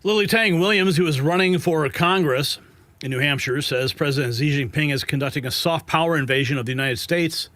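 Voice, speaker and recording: A man's voice: on the high side, a little fast, fairly bright tone, no room sound. A man hosting an online news show, giving opinionated commentary with sarcastic, scoffing asides.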